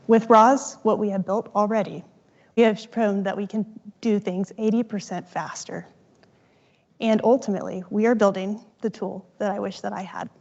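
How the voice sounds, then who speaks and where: A woman co-founder giving an upbeat, emphatic startup pitch on stage. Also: fairly even intonation, moderate pace, a little mellow, lo-fi sound.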